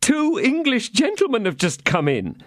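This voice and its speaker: A fretful innkeeper whines and pleads, voiced by a man. His voice sits high and swoops up anxiously, and the recording is dry and close. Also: huge pitch swings, normal speed, a bit bright, no echo, heavily acted. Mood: fretful, pleading. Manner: whining, pleading, high and strained. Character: anxious wailing male innkeeper, fussy and fretful.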